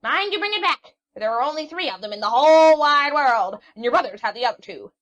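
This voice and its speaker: A woman doing a shrill, scolding ancient witch. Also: expressive, fast talker, a bit bright. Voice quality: shrill, screeching. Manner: scolding, bossy, rambling. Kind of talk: storybook reading. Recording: dull lo-fi recording.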